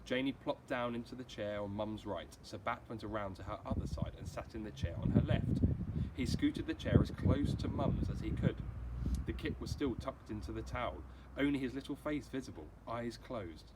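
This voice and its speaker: A man's voice: mid-range voice, normal speed. A man reading a children's storybook aloud like a teacher, acting out the dialogue expressively. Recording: clearly noisy amateur recording.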